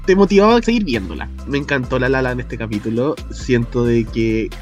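A man's voice: mid-range voice, lively intonation, fairly quick, darkish tone, highs cut off, faint music behind. A man with a Chilean accent, co-hosting a podcast in casual chat, chatty and laughing often as he drops slang. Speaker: podcast co-host.

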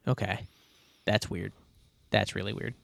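A man's voice: fairly low pitch, fairly even intonation, a little slow, creaky, a bit bright, dry recording. A man playing a tabletop role-playing game, talking things through casually in a careful, deliberative manner.

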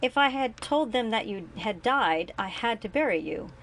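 A woman's voice: on the high side, lively intonation, normal speed, fairly bright tone, a little hiss or hum, voice pushed up. A guilt-ridden older father, voiced by a woman narrating, pleading and earnest. He keeps a self-assured steadiness under the remorse, growing firm and impatient when pushed.

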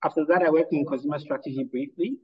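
A man's voice: on the high side, slightly rough, muffled recording. A man from Ghana, a lawyer and entrepreneur, answering interview questions in an articulate, analytical way. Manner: articulate, analytical, measured with fillers.

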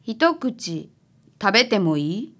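A woman acting as a bright, assertive confectioner of about thirty in a radio drama, with a Kansai accent. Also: mid-range voice, big pitch swings, slow, exaggerated voice. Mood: suspicious.

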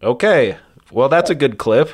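Halting man with medium pitch. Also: lively intonation, loud music behind the voice. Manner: halting, hesitant. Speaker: comedy show guest. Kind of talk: casual chat introducing clips.

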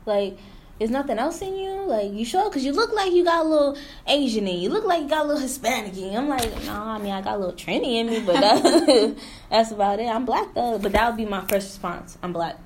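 A woman's voice: fairly high pitch, expressive, a little fast, darkish tone, slight background noise. Blunt, slangy woman in casual chat. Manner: blunt, candid, slangy, with frequent "mm-hmm" backchannels.

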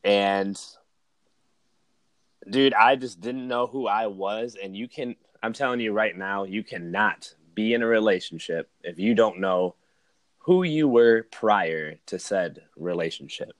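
Casting: male guest, about thirty-one, fairly deep voice, informal personal storytelling full of "like" and "man", dry recording. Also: unhurried, no room sound. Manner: informal, slangy, uses "man", "dude" and "like". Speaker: podcast guest, podcaster. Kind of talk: casual chat, personal storytelling.

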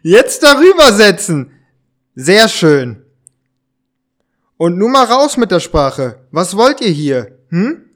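A man playing a sly, mock-stern gentleman who interrogates intruders in an amateur audio drama, in a halting, drawn-out way. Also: high-pitched, very animated, exaggerated voice. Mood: sly questioning.

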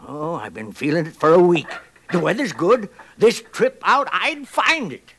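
An actor playing a toothless, stubbornly hopeful old prospector in a 1950s radio western, gravelly and rambling in a folksy American frontier drawl. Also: male voice, high voice, big pitch swings, measured pace, pushed and bright, exaggerated voice. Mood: eager, persuading. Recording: noisy 1950s radio recording.

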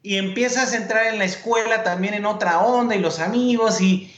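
Mexican man, 40s, high voice in a roomy space, relaxed joking storyteller. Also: a little fast. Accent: Mexican Spanish. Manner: relaxed storytelling, joking, uses "compadre" and "brother". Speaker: TV sports and entertainment host, guest. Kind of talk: casual interview chat.

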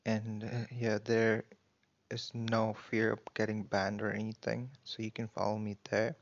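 Lowish monotone guy, podcast host plugging his stuff, kinda halting, muffled lo-fi audio. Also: gravelly. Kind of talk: casual promotional talk to listeners. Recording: dull, lo-fi sound.

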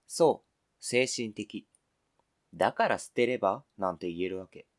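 A man's voice: lowish voice, animated, measured pace. A man acting in a radio drama reading as a wounded, indignant adult who argues with cold, pedantic logic and interrogates relentlessly. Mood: hurt, bitter. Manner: pedantic, interrogating, formal argumentative phrasing.

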